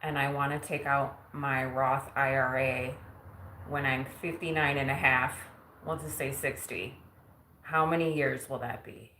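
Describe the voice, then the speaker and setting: Woman, 40s, low pitch, casual self-teasing live-stream talk, roomy faint-ambience sound. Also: steady tone, unhurried, sharp tone, slight background noise. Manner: chatty, self-teasing, laughs often. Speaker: vlogger. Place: home kitchen.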